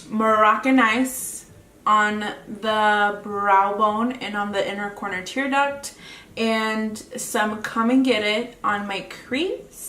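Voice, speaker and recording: Slow-talking woman with a Mexican accent. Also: mid-range voice, pushed and bright. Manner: casual, chatty, trailing off while working. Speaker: beauty vlogger. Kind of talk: makeup tutorial talk. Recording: echoey room, mic at a distance, faint hiss.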